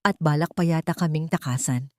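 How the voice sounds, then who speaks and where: A woman's voice: deep voice, fairly quick, dark tone, no room sound, clean studio sound, close to an everyday voice. A woman storyteller voicing a spiteful female villain in a drama, taunting and accusatory. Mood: loud accusation.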